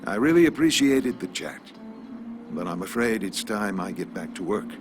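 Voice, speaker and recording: A man playing a courteous, philosophical older small-town pharmacist in a video game, talking in a polite, measured, folksy way. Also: lowish voice, big pitch swings, music in the background. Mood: friendly, confiding. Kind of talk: video game character dialogue.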